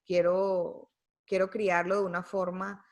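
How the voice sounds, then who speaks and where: Reflective woman guest, lowish voice. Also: flat delivery, takes it slowly, a little mellow. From United States. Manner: thoughtful, frequent fillers and tag questions. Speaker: podcast guest. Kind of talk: reflective conversational interview.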